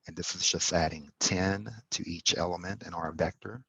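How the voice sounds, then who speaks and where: A man explaining code step by step in a tutorial, pausing often and correcting himself as he goes. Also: deep voice, steady tone, gravelly, fairly bright tone, slightly rough, dry recording, low-quality recording. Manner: halting, self-correcting explanation with fillers. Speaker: instructor. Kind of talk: screen-recorded coding tutorial.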